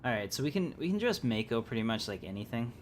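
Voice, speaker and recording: A man's voice: mid-range voice, very animated, fast, a little hiss or hum. A man giving casual live gameplay commentary, musing aloud and hesitating as he thinks through choices. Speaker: game livestreamer, host.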